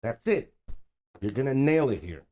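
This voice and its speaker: A man's voice: mid-range voice, big pitch swings, normal speed, a little mellow, lightly acted. A man playing a pushy, pleading film producer worried about money, in a comedy table read. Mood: encouraging.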